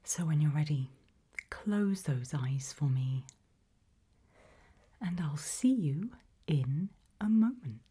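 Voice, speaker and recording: A British woman whispering gently in an ASMR roleplay as a calm, attentive sleep clinic practitioner, reassuring and soothing. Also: sits low, slow pace, long pauses. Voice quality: breathy, whispered.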